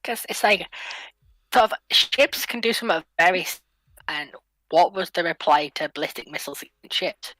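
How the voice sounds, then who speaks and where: Low-pitched, bright-voiced woman in a debate. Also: lively intonation, normal speed, no room sound, poor audio. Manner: argumentative, countering points. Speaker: livestream panelist. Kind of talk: casual debate.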